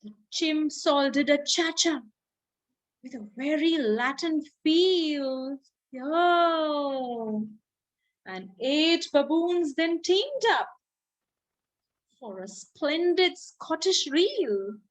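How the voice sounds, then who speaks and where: A woman with an Indian accent who speaks deliberately, as a children's storyteller addressing young listeners expressively. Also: high voice, animated, poor audio. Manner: slow, deliberate, child-directed delivery with drawn-out words. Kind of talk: expressive read-aloud for children.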